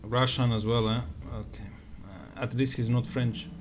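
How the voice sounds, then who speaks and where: A man's voice: medium pitch, steady tone, normal speed. A man streams a strategy game and thinks aloud as he plays. He jokes with his chat in a loose, casual way. Faint game music hums underneath. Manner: joking, thinking aloud while playing. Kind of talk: live gameplay commentary.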